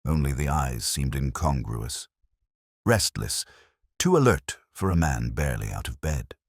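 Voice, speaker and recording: A man's voice: rumbling low, big pitch swings, measured pace, no room sound, studio quality. A man reading a detective mystery story as scripted narration. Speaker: narrator and reader.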